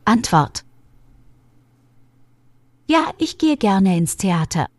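Brief: woman lesson co-presenter, measured scripted delivery, lively pitch, dry close sound. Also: no room sound. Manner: measured, instructive.

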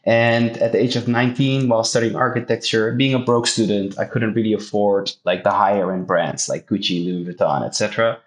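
Dutch guy in his 30s, low voice, laid-back rambling interview chat, echoey room. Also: brisk, few pauses. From Netherlands. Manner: relaxed, talks in loose run-on sentences with fillers. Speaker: guest, fashion brand founder. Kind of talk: casual interview.

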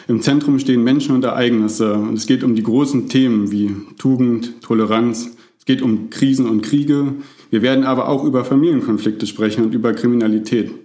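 A man in his thirties from Berlin, co-hosting a history podcast and explaining things in a steady, measured way. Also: mid-range voice, roomy sound. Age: 30s. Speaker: podcast co-host, museum educator. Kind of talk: casual podcast chat.

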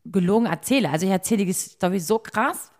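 A woman in her thirties co-hosting a podcast who talks openly about her own mistakes and often pauses and restarts while she searches for words. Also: mid-range voice, animated, a little mellow. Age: 30s. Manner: searching, hesitant, confessional. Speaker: podcast co-host. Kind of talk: candid casual conversation.

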